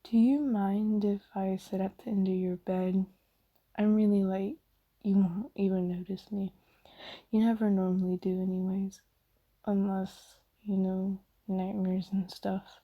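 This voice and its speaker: A woman voicing a kindly, apologetic demon in a soft, half-whispered, hesitant and reassuring ASMR comfort roleplay. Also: medium pitch, level tone, measured pace, muffled recording. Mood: shy, gentle. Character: kindly apologetic female sleep paralysis demon, gentle comforter.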